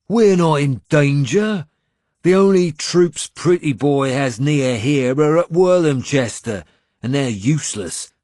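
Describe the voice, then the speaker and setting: A man's voice: a little high, animated, slow pace, a little mellow, no echo, clean studio sound, pitched above the performer's own voice. A man voicing a snarling, sneering warlord, raised voice. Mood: sneering, scornful. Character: boastful, sneering, cruel male warlord, quick to anger. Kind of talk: audiobook character acting.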